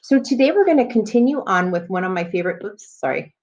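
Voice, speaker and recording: Female primary teacher, medium pitch, explanatory read-aloud delivery aimed at young pupils; dull, lo-fi recording. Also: lively intonation, darkish tone. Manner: explanatory, pauses to question and address listeners. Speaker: elementary school teacher. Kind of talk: read-aloud reading lesson for children.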